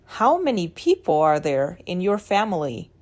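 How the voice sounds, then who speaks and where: A woman's voice: lowish voice, sing-song, normal speed, a little mellow. A woman asking short, plain practice questions in a beginner's speaking drill. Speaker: question prompter in a language drill. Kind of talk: scripted drill prompts.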